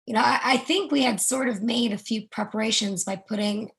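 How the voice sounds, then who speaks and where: Gravelly-voiced woman reading a monologue. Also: a little high, fairly quick, a bit bright. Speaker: reader performing a monologue. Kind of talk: readers theatre monologue.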